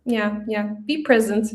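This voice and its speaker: Woman hosting a conversational business interview. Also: mid-range voice. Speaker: host, interviewer.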